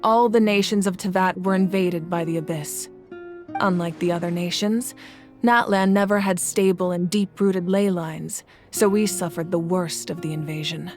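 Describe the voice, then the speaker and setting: Woman doing a calm serious fire-nation ruler, formal and steady, loud music behind. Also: fairly low pitch, lightly acted. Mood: grave. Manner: measured, formal storytelling. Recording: game audio with loud music.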